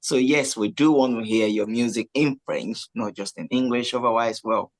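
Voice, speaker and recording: A man's voice: medium pitch, normal speed. A man hosting a radio interview, friendly and chatty, with frequent filler phrases. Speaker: radio host.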